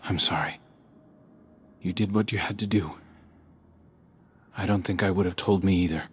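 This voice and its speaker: A man playing a brooding, remorseful reborn prince in a video game, grave and breathy. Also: deep voice, moderate pace. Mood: remorseful, resolved. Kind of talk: video game cutscene acting.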